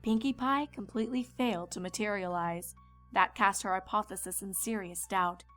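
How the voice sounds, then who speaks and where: A woman's voice: medium pitch, normal speed, a bit bright. A woman reading scripted storybook narration as the narrator. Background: background music.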